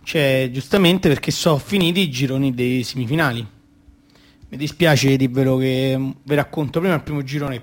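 A man's voice: medium pitch, lightly acted. An actor playing a naive, stubborn, football-obsessed young boy in a radio play, speaking in childish, slangy, repetitive phrases. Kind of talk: radio theatre monologue and dialogue.

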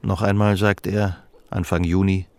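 Sober radio play narrator guy, gravelly low voice, melancholy love story. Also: level tone, moderate pace, a little mellow, dry recording. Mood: reflective, sober.

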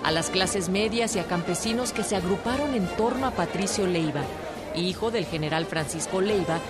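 Low-pitched Mexican woman narrator over music. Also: moderate pace, a loud crowd. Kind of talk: scripted documentary narration for radio drama.

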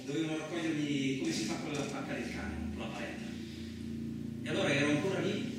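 A bewildered, rough-spoken truck driver played by a male actor tells his ordeal in a radio drama. His fairly high voice is halting and colloquial, given to repeating itself. It comes through very echoey and from a distance. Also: lightly acted. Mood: dazed recounting. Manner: halting, repetitive, colloquial, with agitated outbursts. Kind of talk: theatrical dramatic monologue for radio. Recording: very echoey, distant, clearly noisy recording.

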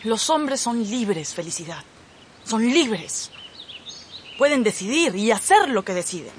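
A wistful, passionate young wife in a radio drama. Her voice is fairly high and sweeps widely in pitch, with slow, dramatic phrasing. There is faint noise behind her. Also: big pitch swings. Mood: bitter, wistful. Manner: dramatic, emotional delivery.

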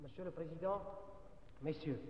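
A man addressing an assembly formally, in a slow and deliberate manner, in an old archival recording. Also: a little high, dull audio, slight background noise. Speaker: delegate. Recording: archival 1947 broadcast from a large hall, distant.

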